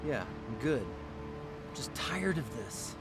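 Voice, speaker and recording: A man's voice: medium pitch, sing-song, takes it slowly. A man playing an exasperated, weary policeman in a film drama, curt and commanding. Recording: loud music behind the voice.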